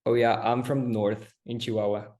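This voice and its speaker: A man's voice: medium pitch, level tone, brisk, darkish tone. A man from Mexico, a program winner, giving a cheerful, polite self-introduction on a video call.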